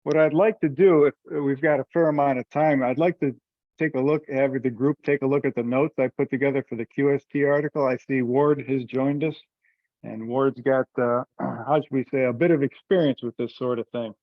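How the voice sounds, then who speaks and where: Male meeting chair, mid pitch, informal and halting, heard over dull, close-sounding conference audio. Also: steady tone, no echo. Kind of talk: informal group teleconference talk. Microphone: teleconference call.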